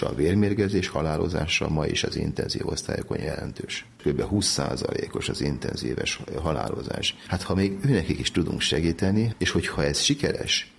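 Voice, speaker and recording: Man, extremely deep, creaky fry, methodical explanatory delivery, researcher in an interview. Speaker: medical researcher, interview guest.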